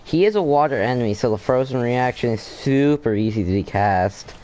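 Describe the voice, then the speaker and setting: A man's voice: medium pitch, expressive, fairly quick, darkish tone, a little hiss or hum, poor audio. A young man giving casual gameplay commentary, offhand and reacting aloud as he talks back to the game. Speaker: gaming video creator.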